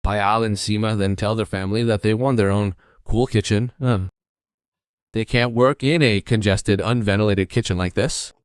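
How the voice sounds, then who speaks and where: A man's voice: fairly low pitch, steady tone, moderate pace, dry recording, studio quality. A man reading scripted narration for a story as the storyteller.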